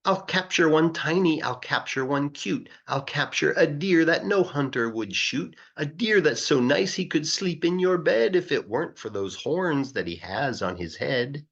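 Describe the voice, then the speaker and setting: A man plays an eager young storybook boy boasting in bouncy rhyme. The pitch swings widely, the tone is a bit husky, and the sound is slightly dull. The delivery is excited and sing-song. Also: unhurried, few pauses, full character voice. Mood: proud, whimsical. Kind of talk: children's storybook reading.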